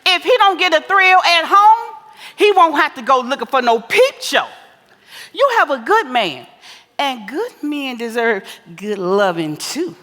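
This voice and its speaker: A woman's voice: high voice, animated, a bit bright. A woman on stage playing a bawdy, boisterous older mother who schools her grown daughter, sassy and preachy in a big theater hall. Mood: gleeful, bawdy. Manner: sassy, preachy, exclamatory, drawn-out emphasis. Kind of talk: broad comedic stage play acting. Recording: echoey theater hall with long reverb.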